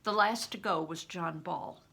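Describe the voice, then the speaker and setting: Bright, creaky older woman reading a story. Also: on the low side, measured pace. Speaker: retired reading teacher, storyteller. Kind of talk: expressive audiobook-style reading of a children's novel.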